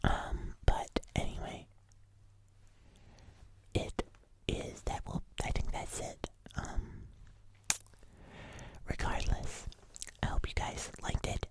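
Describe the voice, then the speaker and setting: An ASMR host giving a casual food review in a breathy whisper, often pausing to correct themselves.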